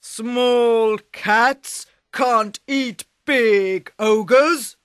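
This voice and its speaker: A woman voicing a big, gruff male ogre who speaks slowly and threateningly in a storybook reading. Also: no room sound, clean studio sound. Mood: menacing. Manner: slow, booming and threatening.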